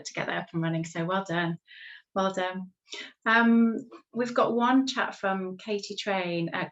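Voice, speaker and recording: A woman interviewer with a fairly low voice encourages her guest and reads out questions from viewers in a relaxed way. The recording is dull and muffled. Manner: warm encouraging backchannels. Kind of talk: casual interview hosting.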